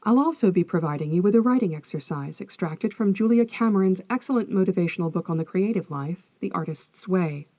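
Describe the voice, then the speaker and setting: A woman hosting an educational podcast, reading scripted narration in a measured, articulate, explanatory manner. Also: lowish voice, lively intonation, darkish tone, no echo. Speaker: podcast host, folklorist.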